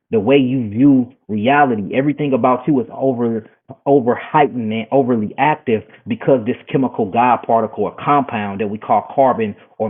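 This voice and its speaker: A man's voice: medium pitch, slightly rough. A man, a guest herbalist, lecturing earnestly in a podcast interview, often repeating words as he starts a thought. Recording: tinny phone-like sound.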